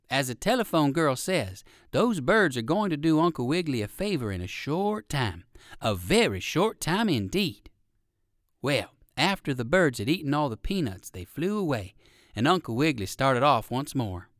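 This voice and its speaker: Male children's storybook narrator: expressive, swooping intonation, chatty with young listeners, very dry sound. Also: mid-range voice, normal speed, dry recording. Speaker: audiobook narrator.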